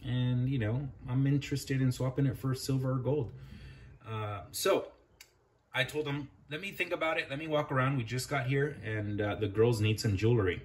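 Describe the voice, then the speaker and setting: A man's voice: medium pitch, normal speed, a little hiss or hum. A man hosting a casual vlog recap, talking informally and conversationally straight to his viewers. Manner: informal, conversational, addressing viewers as guys and buddy. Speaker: video host, coin collector.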